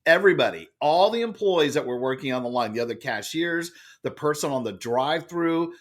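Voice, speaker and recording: A middle-aged man with a loud voice, an author and speaker telling a story as a podcast guest, animated and expressive. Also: fairly quick. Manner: expressive, acts out quoted lines with big jumps in pitch.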